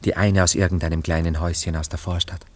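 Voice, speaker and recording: Melancholy ladies' man, low-pitched, solemn, wistful, theatrical, radio drama. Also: level tone, quick pace, darkish tone. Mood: dreamy, sentimental. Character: melancholy, sentimental male philanderer parting with his past. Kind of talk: German radio play acting.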